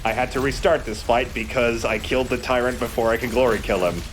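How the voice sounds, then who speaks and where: A man's voice: medium pitch, fairly even intonation, quick pace, background noise. A man giving wry, rambling, sweary commentary as he plays a video game. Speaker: let's play host and player. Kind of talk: casual gameplay commentary.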